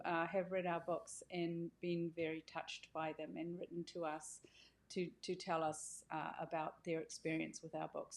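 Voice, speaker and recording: Female author-host, slightly low voice, informal and conversational, feeling her way through sentences. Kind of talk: informal welcome talk to camera.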